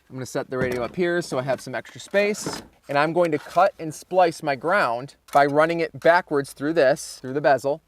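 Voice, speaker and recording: A man's voice: medium pitch, a little hiss or hum. Casual man explaining an install. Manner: informal, thinking aloud while working. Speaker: host of a car installation how-to channel. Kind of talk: casual hands-on tutorial, talking through the work.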